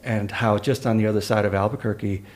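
A middle-aged man whose first language is Spanish, speaking in a measured, matter-of-fact way in a casual conversation. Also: on the low side, steady tone, darkish tone.

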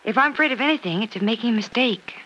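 A woman's voice: a little high, animated, very fast, pushed and bright. An actress playing an earnest, affectionate young single woman who is careful about love, in old-time radio soap style. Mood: earnest, uncertain. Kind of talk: 1940s-50s radio soap acting. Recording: dull, noisy old radio transcription.

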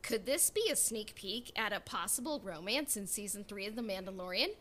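A woman's voice: mid-range voice, lively intonation, fairly quick, pushed and bright. A woman co-hosting a podcast in casual, playful chat. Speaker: podcast co-host.

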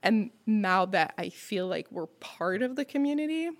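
A woman's voice: mid-range voice, expressive, normal speed. A woman in her thirties from Montreal, a native French speaker with a French Canadian accent, chatting casually as a podcast guest and filling her talk with "like" and "you know". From Montreal, Quebec. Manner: talkative, lots of "like" and "you know". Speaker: guest, restaurant co-owner. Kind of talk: casual conversation.

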